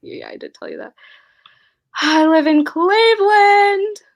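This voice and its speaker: A woman's voice: extremely high pitch, slow. A young woman hosting a casual live-stream book chat, giggly and scattered, reading viewer comments aloud. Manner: giggly, scattered, laughs often.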